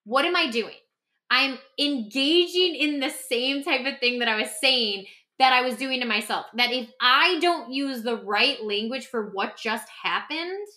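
Bright, high-voiced woman, emphatic podcast talker. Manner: emphatic, acts out example dialogue. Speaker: podcast guest and author discussing their own book. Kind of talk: animated conversational self-help talk.

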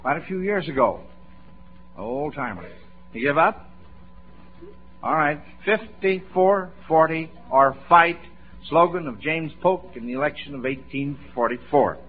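A man's voice: a little high, animated, measured pace, husky. An American man hosting a 1940s radio quiz show, folksy and encouraging, with chuckles in his talk. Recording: dull vintage radio broadcast recording.

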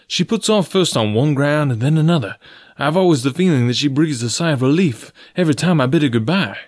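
A man voicing an earnest, formal, pressing gentleman suitor of about forty in an audiobook story. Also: fairly high pitch, animated, no room sound, studio quality, pitched above the performer's own voice. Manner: deliberate, formal, blunt.

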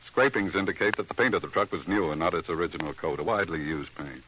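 An American actor playing a condescending, fussy police lab technician in an old radio drama, pedantic and sardonic. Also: male voice, sits low, sharp tone. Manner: pedantic, sardonic, drawn-out needling. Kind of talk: 1940s-50s radio drama acting. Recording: hissy old radio recording.

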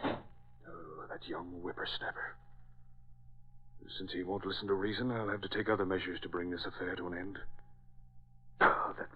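Cranky bossy older dad, 1940s radio play, deepish voice, tinny old recording. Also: male voice, steady tone, moderate pace, pauses often. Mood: irritated, commanding. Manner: gruff, imperious, declamatory. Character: irascible, haughty older father, former diplomat and lecturer. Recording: band-limited vintage 1940s radio recording.

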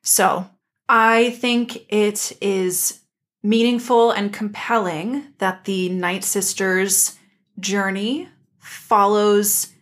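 A woman's voice: medium pitch, fairly even intonation, drawn-out words. Earnest woman in casual analytical discussion. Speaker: podcast co-host.

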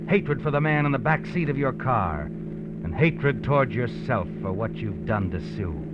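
A man's voice: mid-range voice, lively intonation, a little slow, loud music behind the voice, natural voice. An actor narrating a suspense radio play as an ominous, knowing man who speaks straight to the hero, in a muffled old recording. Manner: dramatic, knowing, addresses the character as "you". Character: ominous, knowing male narrator of a crime story. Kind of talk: 1940s radio suspense narration, second person.

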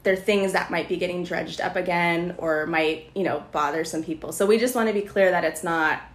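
A woman's voice: fairly low pitch, fairly even intonation, roomy sound. A woman co-hosting a podcast, playful and giggly, chatting and joking casually. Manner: playful, giggly, quick to joke. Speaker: podcast co-host. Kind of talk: casual chat.

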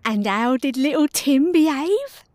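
A woman's voice: sits high, lively intonation, a little slow, a little mellow, no room sound. A woman playing a kindly, fussing working-class mother in an audio drama, speaking affectionately. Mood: teasing, curious. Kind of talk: audio drama acting of Dickens.

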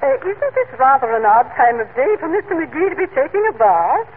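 A woman's voice: high-pitched, big pitch swings, a little fast. A woman playing a haughty, affected middle-aged society lady in a 1940s radio comedy. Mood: polite, arch. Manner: affected, pompous. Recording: old radio broadcast, narrow band, clear noise.

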